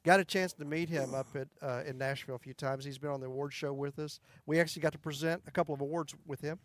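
A man's voice: steady tone, fast talker. A man, a country singer chatting in an interview and telling folksy stories with frequent chuckles. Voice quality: high tenor voice. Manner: folksy storyteller, chuckles often. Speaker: country music singer and guest. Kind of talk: casual interview chat.

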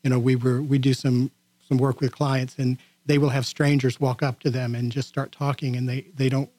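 A man's voice: mid-range voice, level tone, moderate pace, mellow, dry recording. A man who is a guest on a radio talk show, speaking with many "uh"s and repeated words. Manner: filler-heavy, repeating words. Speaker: guest, video producer. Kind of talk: radio interview chat.

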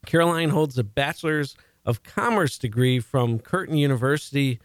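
A man's voice: mid-range voice, normal speed, no room sound. A man with a Chicago accent hosting a radio talk show, chatty and jokey, stumbling and restarting now and then.

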